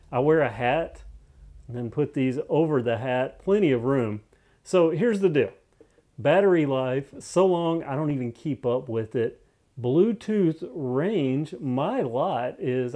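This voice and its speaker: A man's voice: mid-range voice, dark tone. A man giving a casual, unscripted product review, thinking aloud and repeating phrases for emphasis in a conversational way.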